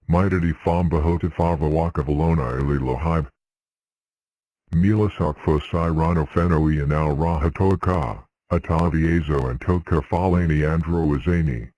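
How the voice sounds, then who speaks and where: A man's voice: very deep voice, darkish tone, dry recording, dull audio. A man reading a scripted emergency alert as an announcer, in a stilted, syllable-by-syllable way.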